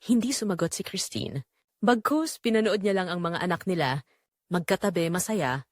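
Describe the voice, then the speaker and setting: A woman narrating a romantic drama in a measured, sentimental storytelling manner. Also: fairly low pitch, no room sound, clean studio sound.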